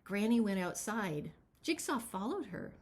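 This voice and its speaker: A woman reading a picture book aloud as its author, with expressive, dramatic storytelling. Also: medium pitch, measured pace. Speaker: children's author reading their own book.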